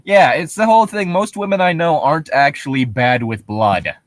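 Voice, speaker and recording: A man's voice: on the high side, brisk, dry recording, clean studio sound. A man co-hosting a comedy show, casually reading and riffing in a sardonic, exasperated, sweary way. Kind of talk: casual riffing while reading horror stories aloud.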